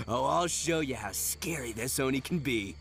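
A man's voice: medium pitch, vocal fry, bright, forceful tone, heavily acted. A man voicing a boastful, brash young oni demon in an anime-style video game. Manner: brash, showy. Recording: game music behind.

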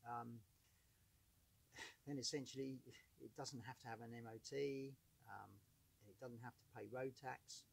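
A man in his fifties, hoarse from bronchitis, chatting casually to camera outdoors, rambling with many fillers and coughs. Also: medium pitch, steady tone, unhurried. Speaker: vlogger. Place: outdoors in a sunny yard by a woodpile. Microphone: far camera on a tripod.

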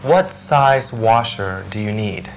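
A young man acting out simple English lesson dialogues in a clear, deliberate voice. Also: lowish voice, slight background noise, low-quality recording. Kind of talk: slow scripted classroom dialogue.

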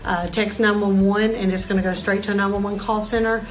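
A woman's voice: mid-range voice, level tone, a little fast. A middle-aged woman, giving an informational explanation as a dispatch supervisor. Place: small dispatch room indoors. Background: music.

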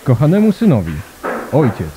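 A man's voice: medium pitch, huge pitch swings, moderate pace. An actor playing a proud father giving an affectionate birthday speech on an indistinct home video in a radio drama. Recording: noisy, indistinct old videotape sound.

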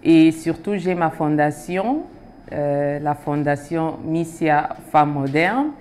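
Female guest, 30s, native German speaker, very low voice, slow hesitant answers. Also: a little hiss or hum. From Germany and Switzerland. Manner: soft-spoken, hesitant, brief answers. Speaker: foundation president, guest. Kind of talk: studio interview answers. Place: TV studio set.

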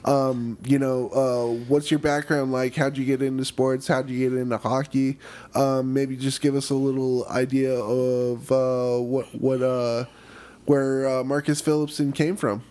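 A man's voice: mid-range voice, little pitch movement, measured pace, darkish tone. A man hosting a casual sports podcast, chatting informally. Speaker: podcast host.

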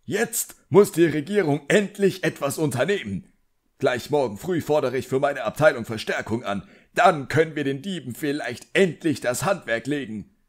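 A man's voice: fairly high pitch, expressive, moderate pace, voice pushed up. A man voicing an irritable, contemptuous middle-aged wizard official in an audiobook, indignant, pompous and barking. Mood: threatening.

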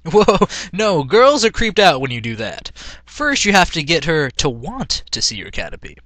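A man's voice: on the high side, sing-song, moderate pace, fairly bright tone, dry recording. A man voicing a worldly male buddy who gives advice in an emphatic, instructive way in a cartoon fan story. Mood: advising.

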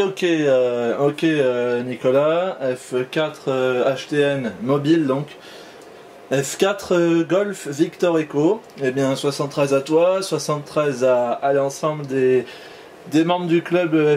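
A man's voice: fairly high pitch, takes it slowly, a little mellow, a little hiss or hum. A man giving a casual explanatory demonstration as an amateur radio operator, hesitating and repeating words as he goes.

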